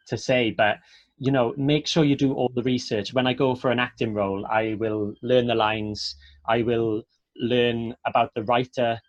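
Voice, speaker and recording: A Welsh man speaks at a middling pitch, chatty and earnest, like an actor encouraging students in an informal interview. The sound is close and dry, a slightly muffled webinar recording. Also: no room sound. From south Wales.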